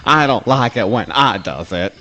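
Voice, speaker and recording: A contrary, grumbling swamp critter played by a man in a comic radio play. His middling-pitched voice speaks in folksy backwoods dialect. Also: animated, moderate pace, pushed and bright.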